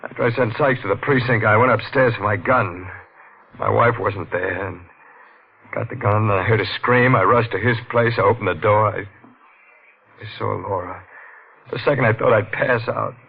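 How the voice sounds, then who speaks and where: An actor playing a guilty police detective with a halting, haunted delivery, in the style of a 1940s radio thriller. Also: male voice, lowish voice, vocal fry, husky. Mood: nervous, shaken. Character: guilty police detective, a wife-killer facing execution. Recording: old radio recording, dull, music behind.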